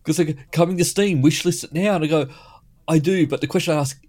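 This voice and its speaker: A man's voice: fairly high pitch, fast talker, darkish tone. Easygoing man with a Western Sydney accent. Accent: Western Sydney Australian. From Western Sydney, Australia. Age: middle-aged. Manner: easygoing, hedges with "sort of". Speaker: podcast co-host. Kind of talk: casual chat.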